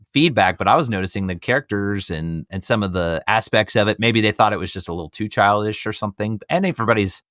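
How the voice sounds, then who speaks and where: A man with a fairly low voice chats as co-host of a casual movie podcast. He rambles along with enthusiasm in a conversational style, recorded dry with hardly any room sound. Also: fairly bright tone, no room sound.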